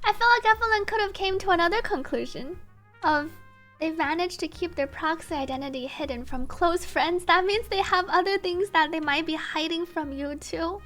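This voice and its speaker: A woman's voice: extremely high pitch, normal speed, fairly bright tone, soft background music. A woman game streamer giving casual, chatty reaction commentary with a giggly manner.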